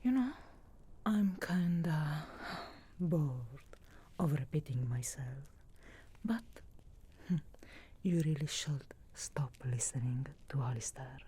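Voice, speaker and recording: A woman voicing a sharp-tongued, sarcastic but reluctantly caring witch in a slow, breathy, whispered ASMR fantasy roleplay. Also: low-pitched, lively intonation, heavily acted. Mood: scolding, sarcastic. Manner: slow, deliberate, dry sarcastic teasing.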